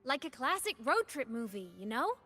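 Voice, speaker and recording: A woman's voice: high-pitched, very animated, normal speed, pushed and bright, soft background music, exaggerated voice. A woman voicing a playful, casual young female ghost in a video game. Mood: breezy, curious. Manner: casual, teasing, upbeat.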